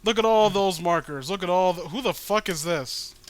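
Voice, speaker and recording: A man's voice: high-pitched, moderate pace. A man giving casual, mocking read-along commentary in a profane, sarcastic, ranting way. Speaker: host.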